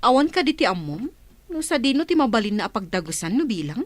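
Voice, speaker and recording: Inquiring Ilocano village woman, played by a woman, radio drama: concerned, higher register, dry close mic. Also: big pitch swings, fast talker, no echo.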